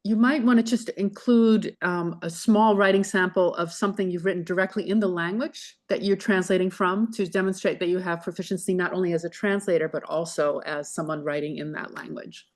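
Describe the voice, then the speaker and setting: A woman with a fairly low voice hosts an online question session. She calls on people and answers in a measured way, pausing on fillers. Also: fairly even intonation. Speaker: faculty host of info session. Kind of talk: moderating a Q&A. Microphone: video call.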